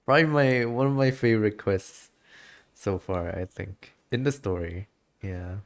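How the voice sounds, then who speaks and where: A man livestreaming a game, reacting casually and excitably and sometimes reading the text aloud. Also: on the low side, unhurried. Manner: excitable, giggly, stumbles when reading. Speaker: game streamer.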